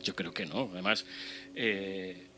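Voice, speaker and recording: A man with an accent from Spain speaking as a radio panelist in a halting, repetitive, conversational way. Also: low voice, steady tone, bright, forceful tone. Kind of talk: casual radio discussion. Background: music.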